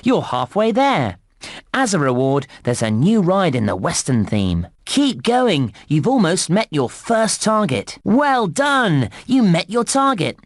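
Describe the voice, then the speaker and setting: A man playing a cheerful, encouraging theme park guide in a game, giving scripted instructions in a clear presenter's delivery. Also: medium pitch, big pitch swings, a little slow, no room sound, studio quality. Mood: delighted, encouraging. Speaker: game narrator and tutorial guide.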